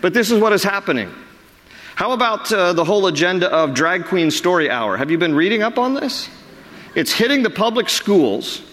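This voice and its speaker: A middle-aged man preaching a sermon as pastor, emphatic, his voice climbing high on the words he stresses. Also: fairly bright tone, in a large hall.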